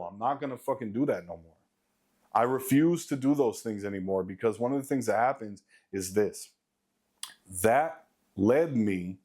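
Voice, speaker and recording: A man in his late thirties with a deep voice talks straight to his audience, venting in a blunt, swear-heavy way. He hammers home his points by repeating them, his pitch sitting in the middle range for a man. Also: darkish tone. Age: late 30s. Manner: blunt, profane, emphatic repetition. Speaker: vlogger. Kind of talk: casual motivational rant to camera.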